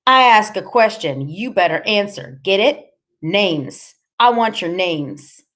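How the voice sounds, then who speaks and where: A bullying male gang boss from a storybook, acted by a woman narrator. He taunts and orders people about in clipped phrases. The pitch swings widely as he leans on his threats. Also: sing-song, a little slow. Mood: menacing, impatient. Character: menacing biker gang boss, gruff bullying man.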